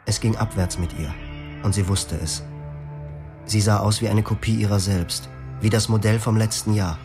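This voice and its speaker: Radio play: actor as a brooding young husband narrating, low voice, clear music bed underneath. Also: male voice, normal speed, natural voice. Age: 20s. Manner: calm, reflective, poetic narration.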